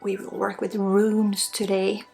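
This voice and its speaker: Creaky-voiced Swedish woman doing healing ASMR. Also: mid-range voice, flat delivery, measured pace, darkish tone, faint music behind. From Sweden. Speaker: spiritual healer and energy work teacher. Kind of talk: ASMR guided energy healing.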